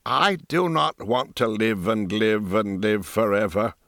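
A man's voice: a bit bright, dry recording, studio quality. A man reading an audiobook, voicing a broken, haunted old woman dyer in a strained, raised voice. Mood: dignified. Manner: slow, strained, rising high pitch. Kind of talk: audiobook character voice.

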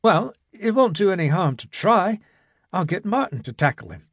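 A man's voice: high-pitched, big pitch swings, normal speed, fairly bright tone, breathy edge, no room sound, studio quality, pitched above the performer's own voice, exaggerated voice. A man voicing an eager, self-assured young man in an audiobook reading.

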